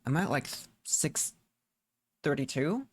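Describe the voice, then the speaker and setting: Slow-talking man thinking aloud while gaming. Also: on the high side, lively intonation, breathy edge, no room sound. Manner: muttering to himself in short fragments. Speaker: gaming streamer. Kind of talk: casual gameplay commentary, thinking aloud. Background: background music.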